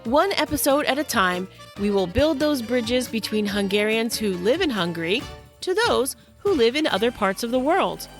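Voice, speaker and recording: A woman hosting a podcast, greeting listeners and introducing her guests in an upbeat, welcoming way. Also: a little high. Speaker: podcast host. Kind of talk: scripted podcast intro and interview.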